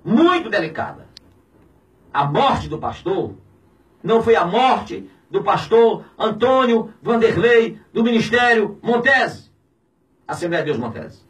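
Indignant Brazilian man in his fifties, high and wildly swinging voice, a little distant from the mic, radio studio. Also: very animated, slow. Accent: Brazilian Portuguese. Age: 50s. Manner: indignant, preaching, alternately shouting and dropping low. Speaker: pastor. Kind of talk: impassioned radio commentary. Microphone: studio mic on a boom, filmed from a distance.

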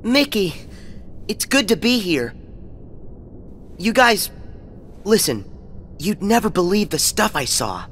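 A man's voice: sits high, very animated, slow, long pauses, a bit bright, a little hiss or hum, heavily acted. Plucky, loyal boy hero, male, game cutscene. Boyish and earnest, with wide inflection. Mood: friendly. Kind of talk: video game cutscene acting. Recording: game music underneath.

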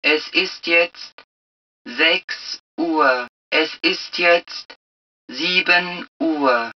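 A woman voicing the calm computer of a protective suit in a video game, making impersonal, clipped, measured announcements. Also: fairly low pitch, far from the mic, dull audio.

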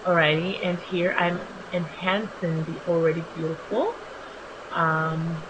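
Slightly raspy young woman narrating makeup. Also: lowish voice, measured pace, dull audio, noisy background. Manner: relaxed, chatty, with many ums. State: just woken up. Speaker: beauty vlogger. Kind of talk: voice-over narration of a makeup tutorial. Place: small home bathroom.

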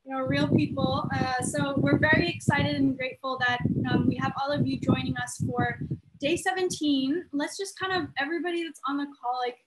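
A woman's voice: high-pitched, noisy background. A nineteen-year-old woman hosting a youth group video call, chatty and upbeat, laughing often. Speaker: host, youth group founder. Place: small room indoors. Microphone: laptop webcam, video call.

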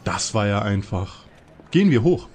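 A man's voice: fairly low pitch, big pitch swings, moderate pace, heavy background noise. A man playing a timid, anxious schoolboy detective in a parody detective audio play. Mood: matter-of-fact.

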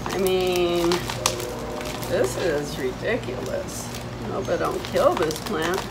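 A woman's voice: fairly low pitch, lively intonation, slow pace, loud music behind the voice. A woman in her fifties chatting casually to camera, telling a personal story in an indignant, emphatic way, recorded outdoors on a porch. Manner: indignant, emphatic, retelling exchanges. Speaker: vlogger. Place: covered porch outside a house. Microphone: camera a few steps away. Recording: clearly noisy outdoor ambience.

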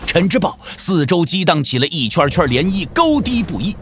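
A man's voice: fairly high pitch, sing-song, normal speed, slight background noise. A man narrating a wuxia audio drama as a scripted storyteller, with theatrical, suspenseful delivery. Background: background music.

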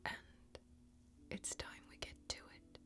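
A young woman playing a tough, watchful female warrior in a fantasy ASMR role-play, whispering breathily and deliberately, with long pauses. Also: pushed and bright. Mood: grave, knowing. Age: young adult. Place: small indoor bar set with bottles. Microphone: close ASMR microphone.

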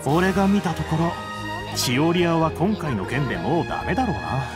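A man's voice: fairly high pitch, big pitch swings, moderate pace. Opinionated townsman gossip. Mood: dismissive. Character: smugly judgmental townsman gossip. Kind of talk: Japanese anime-style game acting. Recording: music under the voice.

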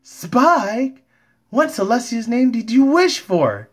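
A man acts out a female cartoon pony for a fan comic dub. The voice sits high and sounds startled, full of questions. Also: big pitch swings, slow pace, husky, exaggerated voice. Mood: exasperated.

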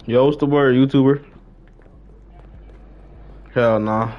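A man's voice: mid-range voice, fairly even intonation, slow pace. Young man streaming, slightly muffled sound. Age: young adult. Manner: slangy, swearing, informal. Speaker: game livestreamer. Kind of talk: casual gaming livestream chat.

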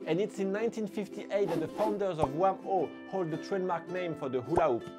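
A young adult man hosting a scripted, playful fact vlog in an animated way. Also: high voice, a little fast. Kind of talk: energetic scripted fact-of-the-day vlog with skits. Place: small furnished room indoors. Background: background music.

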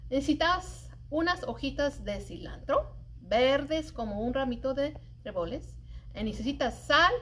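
A middle-aged woman with a notably high voice reads a picture book for children. She takes her time, stretching key words in an expressive storytelling manner. Faint room noise sits behind her. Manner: animated, deliberate storytelling with drawn-out emphasized words. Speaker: library storyteller. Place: indoors in a library children's room. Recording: light background room noise.

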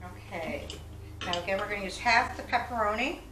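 A woman's voice: medium pitch, a little fast. An older woman demonstrating a recipe in her home kitchen, chatting casually and correcting herself as she works. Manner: chatty, self-correcting, talks while working with her hands. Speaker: home cooking vlogger. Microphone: far camera. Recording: roomy kitchen sound, mic at a distance, music behind.

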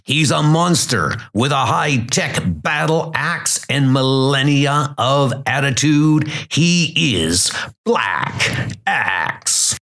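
A man's voice: mid-range voice, lively intonation, extremely slow. A man narrating a comic book in a dramatic, scripted style. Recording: loud music under the voice.

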